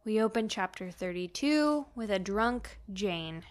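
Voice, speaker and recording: A woman co-hosting a podcast, recapping a story in a casual, animated, slangy and jokey way. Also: mid-range voice, measured pace. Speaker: podcast co-host recapping a novel. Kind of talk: casual chatty story recap with acted-out quotes.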